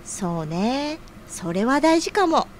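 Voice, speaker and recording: Sisterly woman, played by an actress, encouraging and playful, feminine phrasing, bouncy intonation, rather high, for audio drama. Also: very animated, slow pace, slight background noise, exaggerated voice. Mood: sisterly, concerned. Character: sisterly, teasing, encouraging senior female friend.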